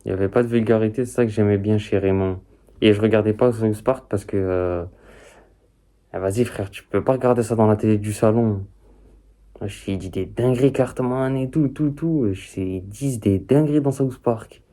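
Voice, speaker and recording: A man's voice: on the low side, moderate pace, warm tone. A young man hosting a reaction video in casual, slangy, informal chat. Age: young adult.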